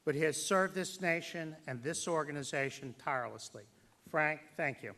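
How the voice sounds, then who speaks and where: A man giving a formal tribute speech as master of ceremonies at a banquet, speaking in a deliberate, emphatic way with dramatic pauses. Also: fairly high pitch, creaky, background noise.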